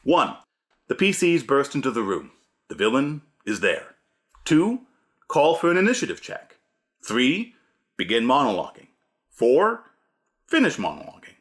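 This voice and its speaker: A man presents a scripted video essay on running tabletop games. His pitch is very lively and moves widely for emphasis. The delivery is punchy and a little theatrical, like a game master instructing other game masters. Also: a little slow, long pauses. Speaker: host, game master giving advice.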